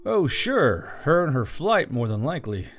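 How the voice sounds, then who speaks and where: A man's voice: on the high side, very animated, normal speed, faint music behind. A man voicing an easygoing, teasing male soldier with a drawl in an audiobook. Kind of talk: audiobook character acting.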